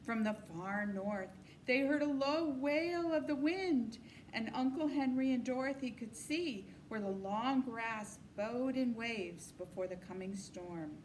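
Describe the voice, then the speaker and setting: A woman with a distinctly high voice reads a children's novel aloud. She tells the story expressively. A faint noise runs behind her voice. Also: expressive, a little slow, poor audio. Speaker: storybook reader.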